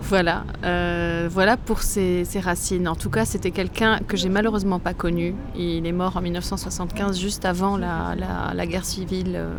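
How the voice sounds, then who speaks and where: A woman from Lebanon, a comics author, gives thoughtful interview answers and often pauses to search for words. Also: fairly low pitch, fairly even intonation, gravelly. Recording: noisy outdoor street ambience. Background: street ambience and passing vehicles.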